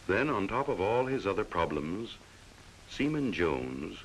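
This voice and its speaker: A man's voice: deep voice, big pitch swings, a little slow, a little hiss or hum, exaggerated voice, rough recording. A man narrating a 1940s training film in a folksy, dramatic storytelling way on an old film soundtrack.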